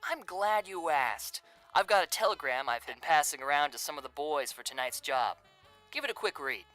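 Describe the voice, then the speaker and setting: Man acting a 1930s mob boss, slick, falsely genial, threatening, bright forceful voice, music behind. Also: on the high side, lively intonation, moderate pace. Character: smooth, menacing male crime boss of Depression-era New York. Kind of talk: 1930s gangster radio drama acting.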